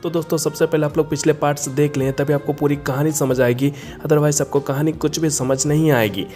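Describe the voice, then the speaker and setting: A man's voice: a little high, fairly even intonation, brisk, warm tone. A man narrating a video introduction as the channel's host, addressing viewers directly. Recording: music under the voice. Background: background music.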